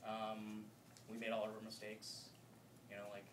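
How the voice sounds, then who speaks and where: A man's voice: lowish voice, monotone, moderate pace. A man on a film panel telling his story casually, laid-back and full of fillers. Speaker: filmmaker on a festival panel. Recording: echoey room mic from far off, noisy.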